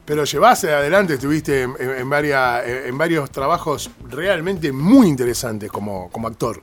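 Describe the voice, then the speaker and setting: A man's voice: mid-range voice, expressive, moderate pace, soft background music. A man with a Rioplatense Argentine accent interviewing in an informal, colloquial, conversational way on radio. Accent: Rioplatense Argentine Spanish. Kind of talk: casual interview chat.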